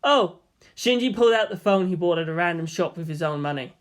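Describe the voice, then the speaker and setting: A woman reading fan fiction aloud as expressive scripted narration. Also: fairly low pitch, fast talker.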